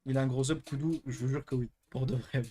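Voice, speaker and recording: A man's voice: mid-range voice, fairly even intonation, fast, dark tone. A young man hosting a live stream, chatting casually and answering chat with crude, teasing jokes. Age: young adult.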